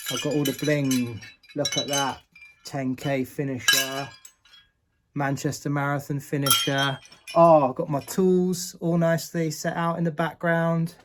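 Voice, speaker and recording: A man's voice: fairly high pitch, slight background noise. A man playing up a boastful YouTuber persona, touring his garage and giving test tips to pupils in a jokey, slangy way. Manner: slangy, boastful, jokey with repeated "innit" and "sick". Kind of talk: tongue-in-cheek mock-YouTuber garage tour and advice.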